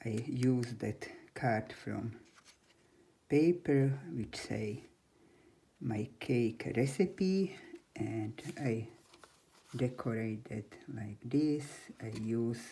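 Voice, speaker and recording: This is an older woman in her seventies presenting a craft tutorial. Her voice sits extremely low for a woman and has a dark, mellow tone. She speaks slowly in halting, non-native English, with faint noise in the background. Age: 70s. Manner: halting non-native English with simple grammar, chatty and unhurried. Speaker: crafting channel host. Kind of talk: scrapbook craft tutorial, showing an album.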